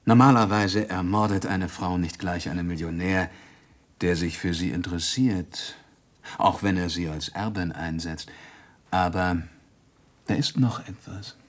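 An actor playing a shrewd, methodical police inspector in a 1960s German radio crime drama, questioning formally and insistently. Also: male voice, sits low, fairly even intonation, a little slow. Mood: calmly accusing.

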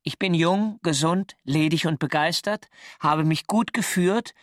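An actor playing a wry First World War sailor narrating his war diary in measured, clearly articulated German radio drama style. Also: a little high, close to an everyday voice. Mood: formal, earnest.

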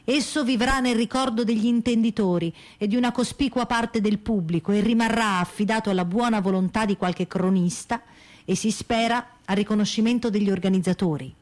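An actress plays a theatrical woman of the stage in a radio play. Her delivery is declamatory and measured, with a mid-range voice suited to farewells and recollections. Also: mid-range voice, fairly even intonation, darkish tone, natural voice. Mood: solemn recital. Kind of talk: scripted radio theatre reading.

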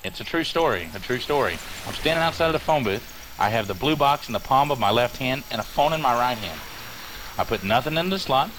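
A man's voice: medium pitch, animated, fairly quick. Man narrating step by step amid noise. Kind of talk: excited demonstration, talking listeners through each step. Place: outdoors by a phone booth.

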